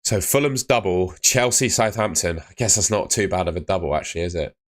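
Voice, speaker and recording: A young man chatting live with viewers on a stream, laid-back and chatty, his voice fading and hoarse. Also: low-pitched, brisk. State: losing his voice.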